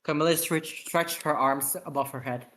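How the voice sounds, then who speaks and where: An amateur reading fan fiction aloud and chatting casually, halting and often repeating words. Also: mid-range voice, steady tone, fairly bright tone, poor audio. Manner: halting, stumbling over words and repeating them. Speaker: author reading own story aloud.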